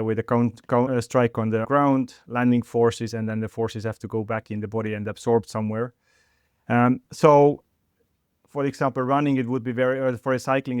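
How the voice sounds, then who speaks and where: Middle-aged guest, clear tone, halting and full of restarts. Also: male voice, mid-range voice, a little mellow, no echo, clean studio sound. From Estonia. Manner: halting, with many fillers and restarts. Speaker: sports chiropractor guest. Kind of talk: podcast interview.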